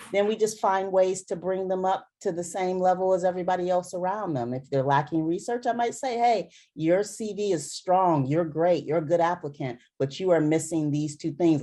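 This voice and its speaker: A middle-aged woman with a low, mellow voice speaks in an earnest conversational way. She drives her points home with repetition and emphasis, like a physician answering questions after a talk. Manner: earnest, emphatic, repeats for stress. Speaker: dermatologist speaker.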